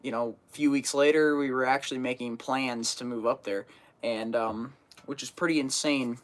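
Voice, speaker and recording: A man's voice: medium pitch, fairly even intonation, normal speed. A teenage boy chatting casually on a live stream, getting over a cold and sniffling, rambling with lots of fillers and restarts. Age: teens. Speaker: fishing channel host.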